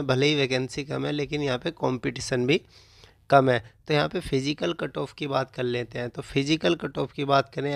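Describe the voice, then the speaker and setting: Creaky-voiced man explaining. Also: mid-range voice, no room sound, studio quality. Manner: repetitive filler phrases, mixes in English exam terms. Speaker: exam coaching presenter. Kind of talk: informational explainer for exam candidates.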